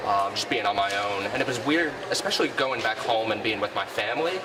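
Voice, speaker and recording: A young man answers casually in an interview, his voice a little low. He sounds away from the microphone, with very loud ambient noise around him. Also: animated, fairly quick, recorded from a distance. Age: young adult.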